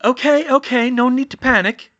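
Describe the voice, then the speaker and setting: A man voicing a motherly witch who is anxious but briskly takes charge in a story reading. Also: very high voice, a bit bright, lo-fi sound, pitched above the performer's own voice. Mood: brisk, commanding.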